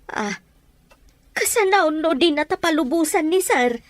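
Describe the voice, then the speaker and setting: A woman's voice: very high-pitched, expressive, a little slow, no echo. An actress with an Ilocano accent playing an anxious, loyal female household helper in a radio drama. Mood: worried, deferential.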